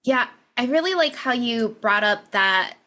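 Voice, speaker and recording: A woman's voice: a little high, expressive, measured pace, bright, forceful tone. A woman from Hawaii who speaks English as a second language, a guest author explaining her ideas in an encouraging, chatty way with frequent "you know" and "right?". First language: not English. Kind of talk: conversational podcast interview.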